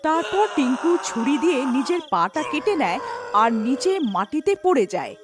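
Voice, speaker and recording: A woman narrator with a high, lively voice. She reads a scripted cartoon story over light background music. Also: normal speed.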